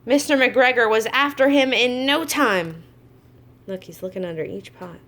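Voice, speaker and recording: Female storybook reader, higher-pitched voice, expressive teacher delivery for kindergarten listeners, slips in conversational asides. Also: moderate pace. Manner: expressive, acts out exclamations, adds chatty asides to young listeners. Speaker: kindergarten teacher reading to class. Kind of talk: children's storybook read-aloud.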